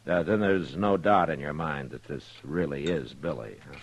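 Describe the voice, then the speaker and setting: Deep-voiced, weary frontier marshal. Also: male voice. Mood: courteous, hesitant. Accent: American. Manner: terse, measured, gruffly courteous. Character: weary, steady frontier lawman. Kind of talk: old-time radio drama acting. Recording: noisy old radio recording.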